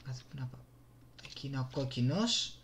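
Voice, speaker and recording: A man with a Greek accent doing casual live gameplay commentary, excitable, exclaiming and muttering to himself into a desktop gaming microphone. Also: medium pitch, slight background noise. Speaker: gaming YouTuber.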